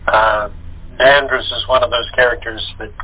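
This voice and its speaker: A man chatting as an interview guest in halting phrases, over a tinny, muffled phone line. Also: medium pitch, steady tone, breathy edge. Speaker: voice actor guest. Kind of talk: casual interview chat.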